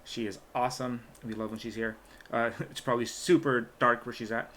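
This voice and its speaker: A man's voice: medium pitch, level tone, fairly quick, a bit bright. A man hosting a casual live stream, hesitantly reading out viewer questions with many fillers. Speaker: stream host.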